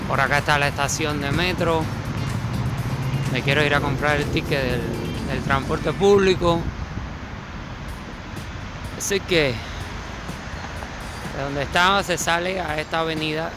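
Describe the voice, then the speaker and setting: A man's voice: on the high side, measured pace, long pauses, rough recording. A man with a Cuban accent vlogging as he walks the streets in winter, chatty and thinking aloud, often repeating words mid-sentence. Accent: Cuban Spanish. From Cuba. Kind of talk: casual travel vlog narration. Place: city streets outdoors in winter. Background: music.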